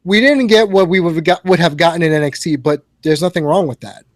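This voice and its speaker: Higher-voiced man hosting a dry chat. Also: brisk, no echo. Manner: easygoing, asks leading questions. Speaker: host. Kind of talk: casual podcast chat. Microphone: video call.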